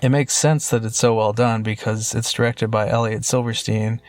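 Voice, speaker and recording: A man's voice: on the low side, little pitch movement, moderate pace, a little mellow, dry recording. A man with an American accent giving prepared commentary on television episodes as a listener chiming in. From Los Angeles, USA.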